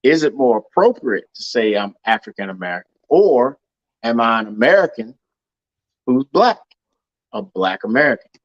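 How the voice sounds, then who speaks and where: A man's voice: mid-range voice, very animated, normal speed, no room sound, highs cut off. A man hosting a casual discussion over a call, earnest and often repeating words as he thinks. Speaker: podcast host.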